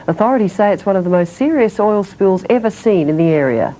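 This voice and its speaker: Mellow-voiced woman reading the news. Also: mid-range voice, rough recording. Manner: measured, even delivery. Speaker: news presenter. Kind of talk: read news bulletin. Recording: old broadcast tape with faint hiss.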